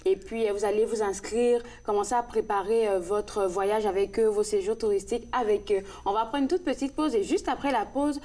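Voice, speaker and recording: A woman's voice: medium pitch, fairly even intonation, a little fast, hardly any pauses, dark tone. A woman hosting a TV talk show who keeps things moving with short prompts.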